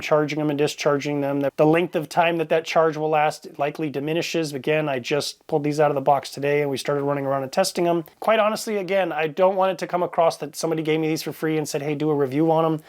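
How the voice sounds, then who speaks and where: A man's voice: on the high side, darkish tone. A man giving a casual, unscripted product review in a plainspoken, conversational, matter-of-fact way.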